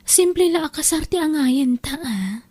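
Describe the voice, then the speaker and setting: A woman's voice: high voice, lively intonation, a little slow, mellow. A woman with an Ilocano accent playing a trusting, hardworking older sister who is heartbroken and deceived, in an emotive radio drama. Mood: sweet, earnest. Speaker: actress in an audio drama.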